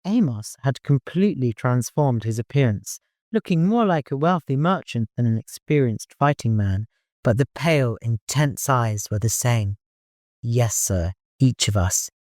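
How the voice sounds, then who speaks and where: A man's voice: medium pitch, lively intonation, normal speed, darkish tone, dry recording, clean studio sound. A man narrating a literary audiobook from a prepared script.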